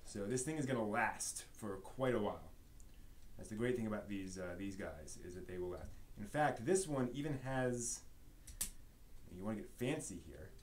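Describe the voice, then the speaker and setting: A man's voice: lowish voice, animated, normal speed. Rambling man on a noisy live stream. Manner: rambling, thinking aloud while handling objects. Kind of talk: casual live show-and-tell of toys.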